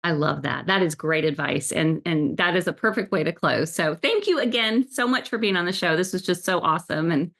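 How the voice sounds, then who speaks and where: A woman's voice: on the low side, brisk, vocal fry, few pauses, fairly bright tone. A woman hosting a podcast interview, gushing with praise and often restarting her sentences in enthusiastic chat. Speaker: podcast host.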